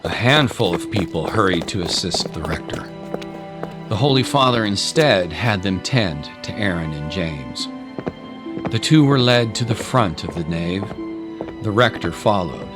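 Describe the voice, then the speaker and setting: A man narrating a scripted dramatic story in a solemn, deliberate way. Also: deep voice, expressive. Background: clear music.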